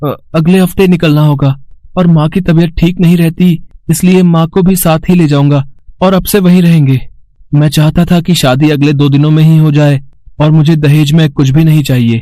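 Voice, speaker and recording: A poor young cartoon beggar, voiced by a man, humble and earnest. His voice is fairly high and dryly recorded, with a pleading manner. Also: fairly even intonation, normal speed, mellow, no echo, natural voice. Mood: hesitant, polite.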